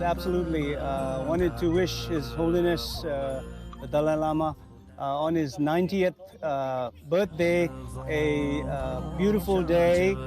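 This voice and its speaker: A man answering a casual interview at an outdoor celebration, hesitant with many fillers. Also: on the high side. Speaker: event attendee. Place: outdoor festival in park. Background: music and dancing.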